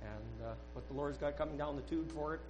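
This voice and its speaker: A man's voice: fairly high pitch, muffled recording, heavy background noise. Earnest man giving a rambling testimony. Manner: earnest, halting, with many restarts. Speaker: church member giving a testimony. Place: church service.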